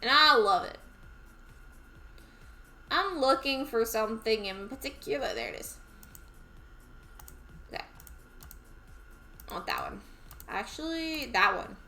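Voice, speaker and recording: A woman's voice: a little high, sing-song, slow pace, pauses often, pushed and bright, faint music behind. A woman with a Southern US accent, chatting casually to herself as she streams a game. She rambles and is easily amused.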